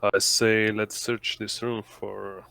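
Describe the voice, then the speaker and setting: A man's voice: lowish voice, flat delivery, takes it slowly, gravelly, bright, forceful tone, no echo. A man taking part in a tabletop game as a player, chatting casually.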